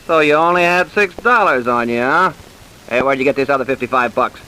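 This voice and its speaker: An actor playing a bullying, hard-boiled American detective in an old-time radio crime drama, tough and sarcastic. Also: male voice, mid-range voice, fairly quick, sharp tone, lightly acted, rough recording. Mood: suspicious, sarcastic. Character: bullying, hard-boiled male police detective grilling a suspect. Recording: hissy old radio recording.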